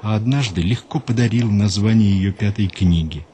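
A man hosting a radio program, reading a scripted story in a measured, unhurried way and dropping lower and quieter for quotations. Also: a little hiss or hum. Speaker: radio host and narrator.

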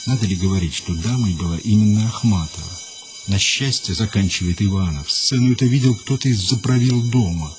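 A man hosting a radio program, reading a scripted story in a measured, unhurried way and dropping lower and quieter for quotations. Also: music in the background. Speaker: radio host and narrator.

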